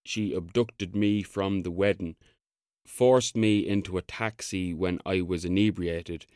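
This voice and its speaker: Male Garda officer, Irish accent, needling, blunt, lowish pitch, audio drama, close dry recording. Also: fairly even intonation, a little slow, a little mellow, clean tone, no room sound. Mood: deliberate reading aloud.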